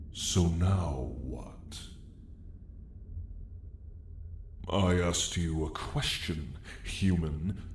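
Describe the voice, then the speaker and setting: A man voicing a haughty, sardonic dragon in a slow, condescending, theatrical drawl for an ASMR roleplay story. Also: low-pitched, a little mellow, reverberant, a little hiss or hum, full character voice. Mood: mocking. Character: haughty, sardonic dragon, apex predator of a mountain.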